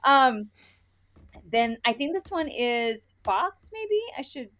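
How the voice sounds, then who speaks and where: A woman's voice: sits high, sing-song, slow, a bit bright. A woman co-hosting a book review podcast, chatting casually and gushingly and sometimes reading quotes aloud. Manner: informal, chatty, gushing, frequent "like".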